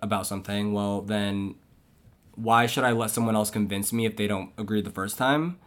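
A man in his twenties hosting a podcast, talking in a slow, reflective, measured way that often trails off. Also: on the low side. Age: 20s. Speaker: podcast host. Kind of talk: casual chat.

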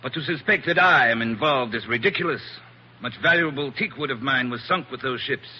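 A haughty plantation owner in a 1940s radio play. His voice is piercingly bright and forceful, with quick, clipped, formal delivery. The old recording is muffled and hissy. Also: mid-range voice, husky. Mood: indignant. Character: haughty, indignant male plantation owner under suspicion. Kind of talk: 1940s radio drama acting.

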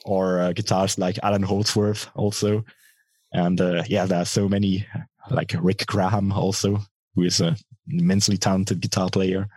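Husky bass-voiced man, close and dry. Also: little pitch movement, moderate pace, a little mellow, no echo. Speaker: musician being interviewed, guitarist and singer. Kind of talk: casual interview answers.

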